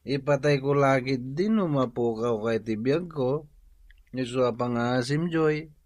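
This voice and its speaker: A man's voice: medium pitch, a little slow, a little mellow, dry recording, studio quality, natural voice. A man with an Ilocano accent playing a drunken, jealous, clingy young husband in a radio drama, slurring and wheedling. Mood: tipsy, wheedling.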